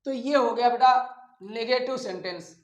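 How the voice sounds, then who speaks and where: A male teacher explains a lesson in an echoey room. He speaks slowly and deliberately, as if dictating. Examples get repeated and drawn out step by step. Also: very high-pitched, highs cut off. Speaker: English grammar teacher.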